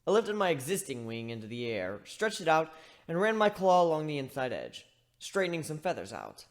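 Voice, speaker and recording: A man's voice: a little high, huge pitch swings, brisk. A man voicing a chatty, wry griffin who narrates a fan fiction story in a conversational, rambling way. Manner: conversational, rambling, self-interrupting.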